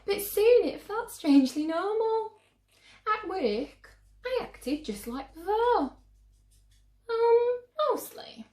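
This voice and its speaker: A woman reading a picture book aloud to children with theatrical, drawn-out emphasis. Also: extremely high pitch, very animated, warm tone, roomy sound. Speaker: children's story reader.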